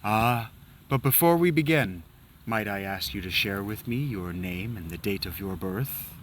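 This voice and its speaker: A man's voice: lowish voice, expressive, slight background noise. A man putting on a solemn old-world historian. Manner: slow, theatrical storytelling with hushed drops. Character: solemn male historian narrating old wars. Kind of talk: dramatic reading of game dialogue.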